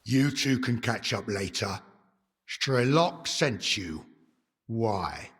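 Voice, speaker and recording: An actor playing a businesslike leader of armed men in a video game, with a husky, authoritative, matter-of-fact delivery. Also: male voice, fairly low pitch, expressive, takes it slowly, a bit bright, heavily acted. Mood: brisk. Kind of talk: video game dramatic acting.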